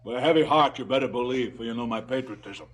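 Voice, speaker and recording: An actor playing a callous, blustering male crime boss in a stylized radio drama, slangy and falsely fatherly with a husky voice. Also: medium pitch, fast, close to an everyday voice. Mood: mock-generous.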